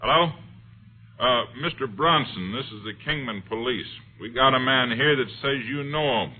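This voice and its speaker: A man's voice: mid-range voice, moderate pace, natural voice. An actor playing a stern, commanding male police officer in an old radio drama. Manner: gruff, commanding. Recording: tinny old radio broadcast.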